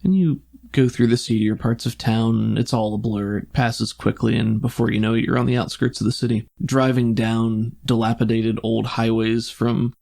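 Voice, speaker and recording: A man's voice: medium pitch, fairly even intonation, moderate pace, gravelly, a little mellow. A man narrating an improvised tabletop roleplaying game as game master, describing scenes in cinematic detail.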